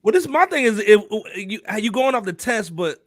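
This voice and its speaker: A man's voice: high-pitched, animated, fairly quick, a bit bright, dry recording. A man hosting a podcast with casual, slangy banter. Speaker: podcast host.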